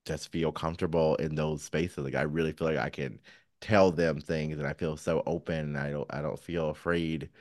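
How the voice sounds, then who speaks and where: A man with a very deep voice talks casually as a podcast co-host. He is frank and sassy, speaking openly about personal matters. The sound is dry, with hardly any room around it. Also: steady tone, moderate pace, a little mellow, no room sound. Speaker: counselor. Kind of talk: casual chat, candid and personal.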